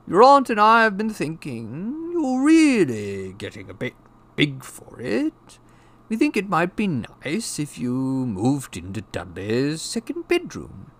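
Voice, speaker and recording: A man voicing a blustering, bullying middle-aged uncle in an audiobook, angry and panicky, with a croaking, sneering delivery. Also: mid-range voice, lively intonation, slow pace, pitched above the performer's own voice, heavily acted. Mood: strained. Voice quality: croaking, forceful. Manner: sneering, snapping, roaring. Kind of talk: audiobook character voice.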